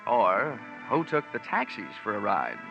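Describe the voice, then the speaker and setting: Casting: brisk, wry private investigator for vintage radio drama, swinging pitch, dull old broadcast sound. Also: male voice, huge pitch swings, vocal fry, heavily acted. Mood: dry, brisk. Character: brisk, wry male freelance insurance investigator. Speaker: narrator and lead detective. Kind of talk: 1940s radio detective acting, scripted narration. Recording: muffled old radio recording, loud music.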